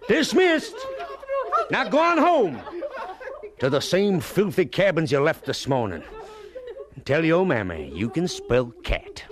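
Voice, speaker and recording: Bitter, swooping-voiced schoolmaster. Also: male voice, on the high side, wildly swooping pitch, measured pace, long pauses, a crowd in the background. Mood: exasperated shouting. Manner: scolding, sardonic, theatrical. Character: bitter, sarcastic male schoolmaster, scornful and weary. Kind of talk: radio drama acting.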